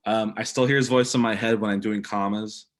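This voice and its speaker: A man's voice: on the low side, fairly even intonation, brisk. A young man co-hosting a casual sports podcast, upbeat and eager when telling a story. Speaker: podcast co-host.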